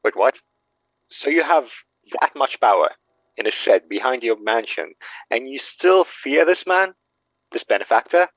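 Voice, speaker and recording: Wary, slow-spoken questioner. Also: male voice, medium pitch, expressive, no echo, lo-fi sound. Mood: incredulous. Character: wary, puzzled man questioning a sorceress. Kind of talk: audio drama horror acting.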